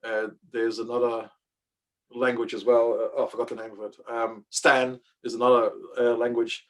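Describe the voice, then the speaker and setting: A man's voice: mid-range voice, little pitch movement. Dutch-native man teaching coding online. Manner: casual, halting, many fillers and restarts. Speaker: workshop presenter. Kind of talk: online coding workshop demo.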